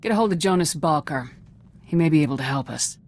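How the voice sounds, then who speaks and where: An actress playing a resolute, businesslike squad commander in a video game, firm and direct. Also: female voice, low-pitched, lively intonation, quick pace, darkish tone. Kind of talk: video game dialogue acting.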